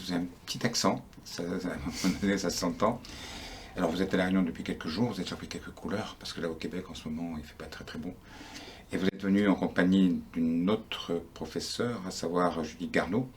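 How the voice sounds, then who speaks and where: Deep-voiced male interviewer, chatty. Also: fast, slight background noise. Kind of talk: news interview.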